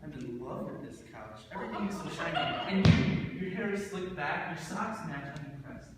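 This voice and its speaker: A man's voice: on the high side, expressive, moderate pace, natural voice. A young man playing a picky, nosy man in his twenties on a date, in an impromptu dramatic play reading. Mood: smitten. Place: large reverberant room at a live reading event. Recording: echoey hall from far off, noisy.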